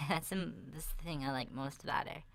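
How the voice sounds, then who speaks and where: A woman voicing a chatty, candid young girl surviving a zombie apocalypse in a soft, breathy, half-whispered ASMR roleplay, talking in a hesitant, rambling way. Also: sits low, moderate pace. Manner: hesitant, rambling, youthful casual phrasing.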